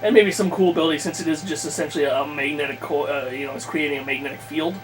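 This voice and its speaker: A man's voice: fairly high pitch, fairly even intonation, fast talker. A man in a casual chat about tabletop games, rambling and thinking aloud as he circles back mid-sentence. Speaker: podcast guest planning a tabletop campaign. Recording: clear background noise and hum.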